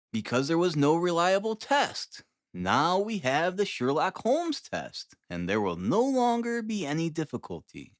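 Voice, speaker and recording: A man acts out an excitable, proud gentleman detective from an audiobook. The voice rides high and leaps wildly in pitch as he declaims with great enthusiasm. The sound is dry and close. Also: no room sound. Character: eager, excitable, proud young male scientist-detective. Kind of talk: audiobook character acting.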